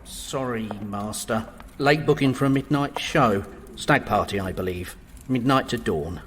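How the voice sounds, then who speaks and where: A man's voice: mid-range voice, a little slow, in a large hall, slight background noise, close to an everyday voice. A man playing a deferential, long-suffering servant to a vampire in a comic audio drama, obsequious and apologetic.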